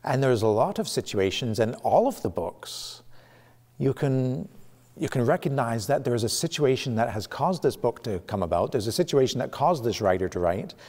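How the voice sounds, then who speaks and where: A middle-aged man, preaching a sermon in a church sanctuary, animated and gesturing, often restarting his phrases. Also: medium pitch, moderate pace. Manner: animated, gesturing with both hands, frequent restarts. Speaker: pastor. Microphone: camera at medium distance. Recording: long reverb of a church hall.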